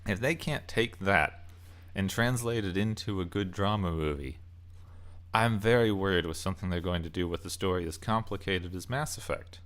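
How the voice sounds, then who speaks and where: A man's voice: low-pitched, a bit bright. A man hosting a video channel, giving a casual, off-the-cuff opinion commentary and thinking aloud in broken phrases. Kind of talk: casual opinion rant about game movies.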